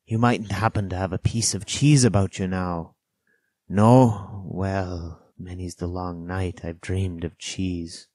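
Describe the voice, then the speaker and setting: Guy doing a crazy old marooned seaman for an audiobook, sly n excitable, rustic talk, deep, drags his words out. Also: no room sound. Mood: wistful. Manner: rustic sailor's dialect, drawn-out words, sudden bursts. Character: half-crazed marooned old sailor, sly, childish, excitable.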